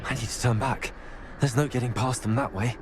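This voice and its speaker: An actor playing an earnest, inquisitive teenage wizard student in a video game, thinking aloud. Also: male voice, medium pitch, fairly quick, heavy background noise, lightly acted. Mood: tense, cautious. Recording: loud game music underneath.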